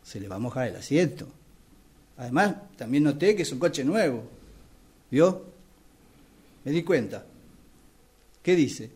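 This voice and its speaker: A man's voice: medium pitch, very animated, unhurried, pauses often, darkish tone, slightly rough. A man with a Rioplatense Argentine accent acting a chatty, over-familiar, effusive acquaintance in a radio play. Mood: neighbourly, insistent. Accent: Rioplatense Argentine Spanish. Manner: effusive, chummy.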